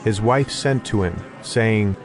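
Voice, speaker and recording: A man's voice: lowish voice, slow. A man giving a scripted narration of an audio Bible. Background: music behind the voice.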